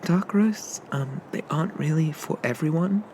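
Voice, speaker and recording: A man voicing a shy, friendly sea dragon barista in a soft-spoken ASMR roleplay, rambling and hesitant as he eagerly explains things. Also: on the high side, normal speed, a little hiss or hum, close to an everyday voice. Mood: helpful, gentle.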